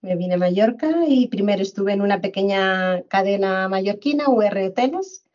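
A middle-aged woman from Madrid, a guest on a podcast, recounting her career in a measured way with frequent fillers and repeated words. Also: mid-range voice, expressive, a little mellow, lo-fi sound. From Spain, Madrid. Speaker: guest, HR director. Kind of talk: conversational interview.

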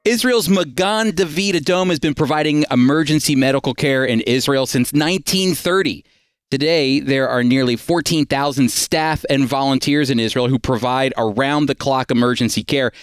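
A man's voice: medium pitch, moderate pace, smooth, no echo, studio quality. A man hosting a radio program, presenting introductions and interviewing a guest. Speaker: radio show host and Bible teacher.

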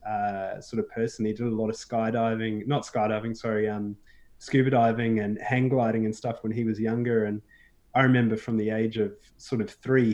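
Male guest, raised in South Africa, easygoing and halting, mid-range voice. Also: medium pitch, lo-fi sound. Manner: relaxed and hesitant, many fillers and restarts. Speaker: podcast guest. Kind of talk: casual interview chat.

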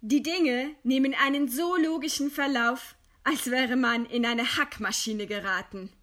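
An actress playing a proud, composed dissident writer in a German radio play, speaking with measured, scornful eloquence. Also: female voice, sits high, a bit bright. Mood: defiant, scornful. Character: condemned dissident woman writer, widowed, proud, defiant, composed.

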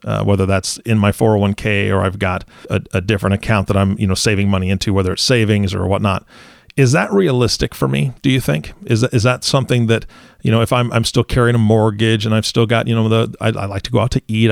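A man in his forties with a low, gravelly voice, hosting a relaxed podcast conversation. He talks in an easygoing, chatty way and laughs readily. The sound is close and dry. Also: dry recording. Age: 40s. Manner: relaxed and chatty, laughs easily, posing hypothetical scenarios. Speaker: podcast host. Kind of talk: casual interview chat.

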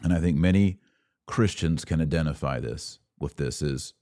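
An American man hosting a political commentary podcast, speaking in a deliberate, emphatic monologue that leans on repeated words for stress. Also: a bass voice, mellow. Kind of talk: opinion monologue to camera.

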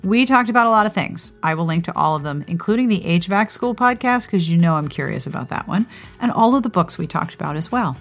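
A woman with a somewhat low voice speaks as a podcast host over music. She moves through scripted show notes in a chatty, playful style, adding enthusiastic little asides. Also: animated, fast talker.

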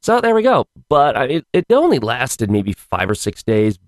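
A hoarse man hosts his podcast in a rambling, wry way, his pitch swinging up and down lively. The sound is close and dry, with no room around it. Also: normal speed, dry recording. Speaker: podcast host. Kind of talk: casual solo podcast chat.

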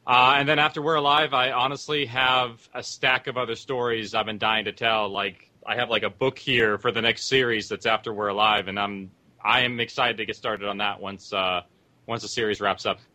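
A man's voice: fairly low pitch, fairly even intonation, moderate pace, pushed and bright. A man talking casually as a guest writer-producer on a panel.

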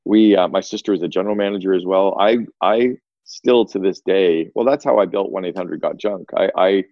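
A man in his fifties hosting a podcast interview, upbeat and talkative, quick to agree with his guest. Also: deep voice, a little mellow, dry recording, dull audio. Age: 50s. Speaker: podcast host. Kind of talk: casual interview chat.

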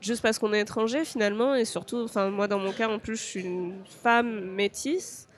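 A woman's voice: medium pitch, steady tone, moderate pace. A young woman from France, a podcast guest speaking hesitantly, with frequent fillers and restarts. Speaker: interview guest. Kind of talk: podcast interview.

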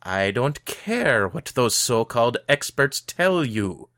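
Grumpy old shopkeeper wizard, voiced by a male narrator, irritable and dismissive, sharp edge to the tone. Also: medium pitch, big pitch swings, slow, pitched above the performer's own voice, heavily acted. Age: older. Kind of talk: audiobook character voice.

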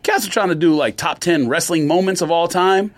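A man's voice: a little high, fairly quick. A man co-hosting a podcast, casually telling stories and teasing his friends. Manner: storytelling, teasing, laughs often. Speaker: podcast co-host. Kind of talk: casual chat.

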